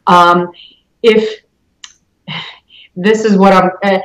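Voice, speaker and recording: A woman in her forties with a Polish accent, giving reflective interview answers in halting, deliberate phrases. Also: medium pitch, steady tone, rough recording. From Poland. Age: 40s. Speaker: interview guest, mortgage loan originator.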